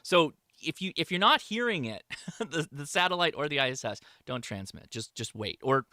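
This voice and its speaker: A man's voice: on the high side, sing-song, normal speed, sharp tone, no room sound, clean studio sound. A man presenting a hobby lesson in a casual, explanatory way, informally stressing the key points as he goes. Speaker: presenter of an amateur radio show. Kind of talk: casual live slideshow lesson.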